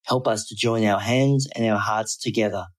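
Lowish-voiced man leading prayer. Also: steady tone, moderate pace. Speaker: prayer leader. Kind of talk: scripted acknowledgement and prayer.

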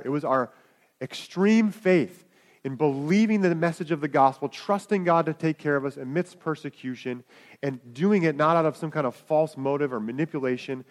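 A man preaching a sermon, in a conversational way with asides to the congregation. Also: on the high side. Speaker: pastor.

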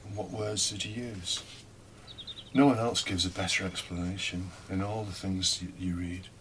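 A man giving a reflective homily, speaking deliberately with pauses and rhetorical questions. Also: low voice, steady tone, a bit bright, a little hiss or hum. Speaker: preacher giving a scripture reflection.

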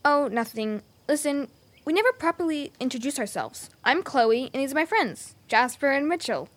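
A woman plays a curious, friendly girl in a children's adventure audio drama. The voice is high and very animated, with earnest, chatty phrasing. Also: normal speed.

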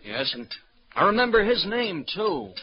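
A muffled vintage radio recording holds a fairly high-voiced American man, a stubborn, plain-spoken husband who has been wronged. He talks excitably in old 1940s radio drama style, with crowd sound behind him. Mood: earnest, recalling. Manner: excitable, plain-spoken, halting when recalling. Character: indignant, stubborn married man from Indiana, crime victim. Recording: dull, crackly 1940s radio broadcast recording.

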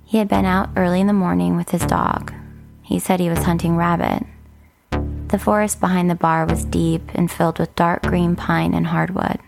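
A woman with a creaky voice delivering scripted narration for an audio fiction show. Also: lowish voice, level tone, moderate pace, music in the background.

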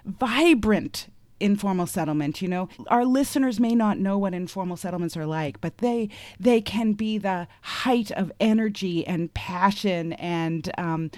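An enthusiastic woman recounting a trip in a relaxed, chatty way. Her mid-range voice sounds close and dry, with no room around it. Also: medium pitch, unhurried, no room sound. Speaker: guest. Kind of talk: casual storytelling.

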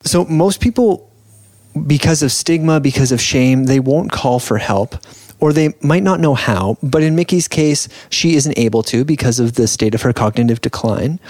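A man hosting a panel discussion, asking questions in a measured, articulate and earnest way. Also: medium pitch, darkish tone, no room sound. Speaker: podcast host, interviewer.

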